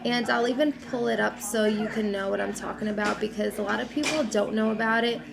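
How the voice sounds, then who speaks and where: A young woman vlogging casually about baby gear, a little raspy from a cold, talking in a loose, chatty way with lots of fillers. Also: medium pitch, a little fast. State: has a cold, slightly raspy. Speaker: mom vlogger. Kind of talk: casual chat to camera.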